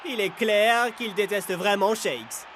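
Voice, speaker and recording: A man's voice: high-pitched, slow pace, noisy background. A man voicing a teasing young soccer teammate in a cartoon dub. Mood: admiring. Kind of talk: animated cartoon dubbing.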